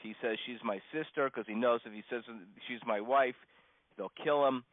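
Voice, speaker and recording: A man speaks as a rabbi telling stories in a relaxed, unscripted class. His delivery halts and doubles back, with stammered restarts and pauses. The sound is a dull, lo-fi conference line, very dry with no room around it. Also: medium pitch, no room sound. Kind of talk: informal religious lesson, unscripted storytelling. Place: teaching over a conference phone call.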